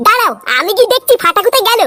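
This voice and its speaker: A performer voicing a scrappy, foul-mouthed village cartoon character with a squeaky, childlike voice and a cheeky, scolding manner. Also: very fast, pushed and bright. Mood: angry, grumbling. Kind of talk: cartoon comedy dubbing. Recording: music behind the voice.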